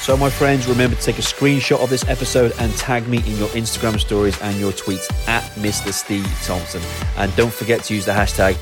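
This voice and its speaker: A man's voice: lowish voice, steady tone, a little fast, few pauses. A man hosting a podcast who closes the episode with an upbeat, motivational sign-off. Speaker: podcast host. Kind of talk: scripted podcast outro. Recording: clear music bed behind voice.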